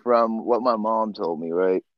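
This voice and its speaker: Podcast guest, man from Nepal, deep voice, easygoing, laughs as he talks, dry lo-fi recording. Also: measured pace, no echo. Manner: laid-back, laughs often, trails off with "uh" and "you know". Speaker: guest, swimbait builder. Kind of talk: casual storytelling interview.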